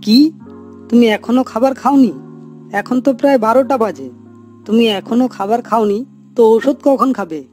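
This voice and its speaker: A man's voice: very high voice, moderate pace, long pauses, darkish tone, soft background music. Man acting earnest, dutiful grown son. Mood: concerned. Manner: earnest, chiding. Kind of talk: cartoon moral story voice acting.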